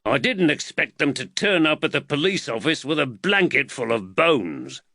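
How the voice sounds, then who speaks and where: A man's voice: on the high side, big pitch swings, normal speed, pushed and bright, no room sound, studio quality, voice pushed up, heavily acted. A man voicing a no-nonsense period London police constable, blunt and growling, in a storybook. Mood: gruff. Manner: blunt, gruff.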